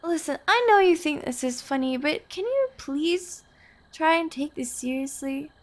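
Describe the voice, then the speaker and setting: A woman's voice: sits high, sing-song, a little slow. A woman playing a nervous, earnest young girlfriend in an intimate audio roleplay, hesitant and pleading in her delivery. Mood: pleading, exasperated.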